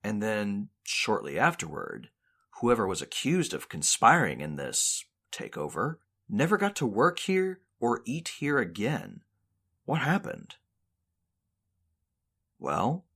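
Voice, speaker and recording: A man playing an earnest, slightly cowardly news reporter, narrating a mock documentary with a husky voice and tongue-in-cheek delivery. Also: lowish voice, huge pitch swings, takes it slowly, fairly bright tone, full character voice. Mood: inquisitive.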